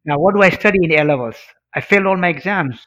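A middle-aged man from Tanzania whose first language is Swahili speaks as an interview guest. His voice sits a little high, and he tells his story earnestly, leaning on repeated phrases for emphasis. The sound is slightly muffled and lo-fi. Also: quick pace, pushed and bright. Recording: dull, lo-fi sound.

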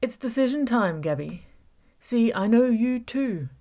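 A woman's voice: fairly high pitch, lively intonation, unhurried, warm tone, pitched above the performer's own voice. A woman voicing a taunting, manipulative male villain in an audiobook, gloating and mock-reasonable. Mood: gloating menace. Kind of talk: audiobook character voice.

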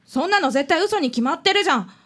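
A woman's voice: very high voice, expressive, quick pace, fairly bright tone. A woman playing a loyal, indignant and blunt teenage girl in a radio drama.

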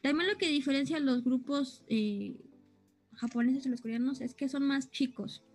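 A woman's voice: high voice, normal speed, a little mellow, soft background music. A young woman chatting casually, explaining things and often searching for the right words. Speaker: co-host explaining anime terms. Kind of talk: casual chat.

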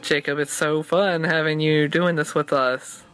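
A woman's voice: low-pitched, fairly even intonation, unhurried, a bit bright, soft background music. A woman co-hosting a podcast in casual, chatty banter. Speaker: podcast co-host.

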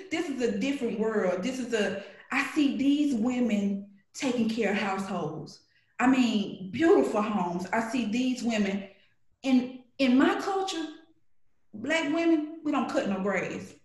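A middle-aged woman from Alabama with a Southern accent, telling stories in an animated, playful way as a guest on a podcast. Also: fairly high pitch, roomy sound, low-quality recording. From Alabama, USA. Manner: animated storytelling, playful, acts out voices in quotes. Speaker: guest, grocery shopper. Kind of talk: casual podcast conversation.

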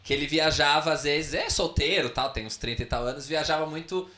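A man's voice: on the high side, brisk, bright, forceful tone. A man hosting a casual interview, telling stories in a chatty, rambling way. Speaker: host. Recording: roomy echo.

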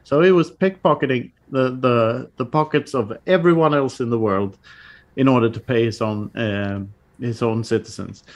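Halting guest author, full of fillers. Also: male voice, mid-range voice. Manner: halting, many fillers and restarts, laughs at own jokes. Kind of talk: casual podcast chat.

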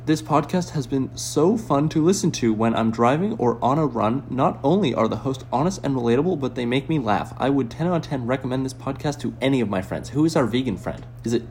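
A man's voice: mid-range voice, lively intonation, brisk, hardly any pauses, a little mellow, slight background noise. Bantering man in casual podcast chat. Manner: playfully argumentative, bantering. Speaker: podcast co-host. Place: room while traveling. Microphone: handheld phone.

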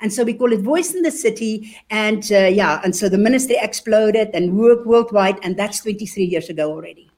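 South african lady telling her ministry story, fervent and earnest, mid pitch. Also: fairly quick, hardly any pauses, a little mellow. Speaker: evangelist guest. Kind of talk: interview testimony.

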